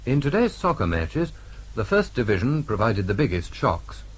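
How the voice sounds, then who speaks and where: A British man reads a scripted radio news bulletin from the mid-twentieth century. His voice is on the low side and distinctly husky. The delivery is formal and measured. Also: sing-song. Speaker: newsreader.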